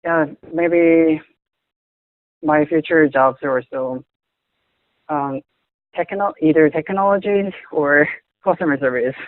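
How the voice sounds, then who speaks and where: Young man, Korean accent, non-native English, slow and hesitant, dry tinny line. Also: on the high side, no room sound. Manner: halting, searching for words, frequent restarts. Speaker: interviewee. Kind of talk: casual conversation in English. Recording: tinny phone-like audio.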